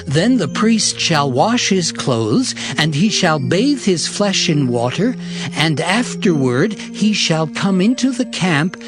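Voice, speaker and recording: Male actor as solemn prophet, slightly high voice, slow deliberate delivery, audio bible drama, music behind. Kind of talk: dramatized scripture reading.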